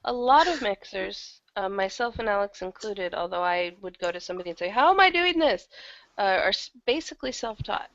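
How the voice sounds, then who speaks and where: A woman's voice: mid-range voice, lively intonation, fairly quick, fairly bright tone, no room sound, dull audio. A woman chatting casually as an interview guest, talking in a loose, conversational way and sometimes correcting herself. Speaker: interview guest, audio drama producer and audiobook narrator.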